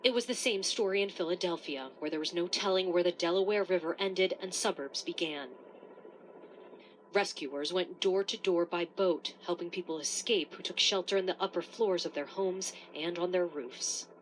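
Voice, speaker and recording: A woman's voice: lowish voice, normal speed, slight background noise, poor audio. A woman narrating a scripted news report as a correspondent.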